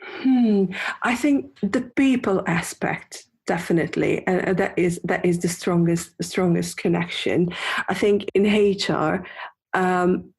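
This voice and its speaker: A woman from Hungary, a podcast guest, answering thoughtfully in a halting way with many repeated words. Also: on the low side, vocal fry, a little mellow, breathy edge. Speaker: guest, UX designer. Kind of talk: casual interview answers.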